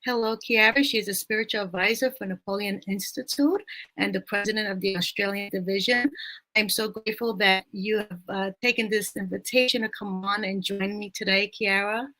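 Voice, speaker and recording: A woman hosting an interview show, introducing her guest at a measured pace with frequent pauses. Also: medium pitch, steady tone, sharp tone, poor audio. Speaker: host.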